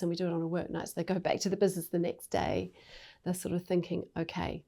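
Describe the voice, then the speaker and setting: A woman leading a national charity explains how it works, speaking in a fluent, earnest way. Her voice sits somewhat low for a woman. The setting is an unhurried interview conversation. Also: dark tone. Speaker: charity CEO.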